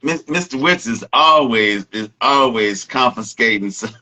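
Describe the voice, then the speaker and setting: A man hosting a casual talk, commenting reflectively in a halting way as he repeats and rephrases himself. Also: medium pitch, bright, forceful tone, rough recording. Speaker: host.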